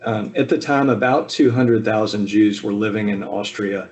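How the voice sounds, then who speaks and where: A man in his fifties from the American South, giving a relaxed personal talk over a video call with frequent ums and asides. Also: lowish voice, normal speed. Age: 50s. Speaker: speaker at a Zoom talk. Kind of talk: personal family history talk.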